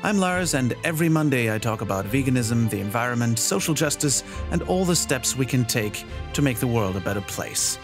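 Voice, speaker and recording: A man's voice: mid-range voice, animated, fairly quick, hardly any pauses, music in the background. A man hosting a podcast, welcoming listeners and chatting enthusiastically with a guest, with plenty of fillers and restarts. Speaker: podcast host. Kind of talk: podcast intro and interview.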